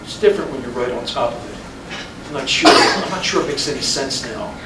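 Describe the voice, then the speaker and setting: A man playing a weary husband in his fifties in a staged play reading, hesitant, halting and defensive. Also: mid-range voice, lively intonation, recorded from a distance, close to an everyday voice. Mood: weary doubt. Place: roomy hall, staged reading at a college. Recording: noisy, echoey stage recording from afar.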